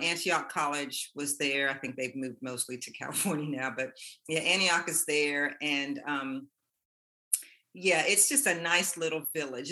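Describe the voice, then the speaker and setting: A woman from Ohio, a guest and self-published author, talking in a soft, hesitant way with light laughs. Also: low voice. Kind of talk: casual interview chat.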